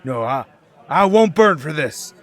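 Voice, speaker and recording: A man's voice: on the high side, big pitch swings, measured pace, faint music behind. Nervy, swooping male crook played by an actor. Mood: panicked, guilty. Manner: rough slangy talk, nervy, defensive. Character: Lefty, rattled small-time male crook and killer. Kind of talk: radio drama acting as a gangster.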